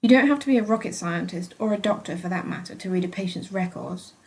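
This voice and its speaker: A woman's voice: mid-range voice, quick pace, lightly acted. A British woman voicing a petulant, sarcastic young female hospital patient in a dramatic first-person story reading, exasperated and huffy. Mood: sarcastic, indignant.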